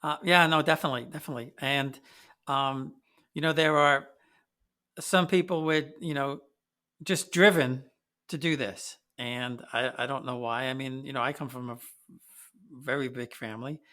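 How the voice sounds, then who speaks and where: A man hosting a podcast, chatting in a friendly, halting way full of fillers. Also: mid-range voice, steady tone, pushed and bright. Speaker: podcast host. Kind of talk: casual podcast interview.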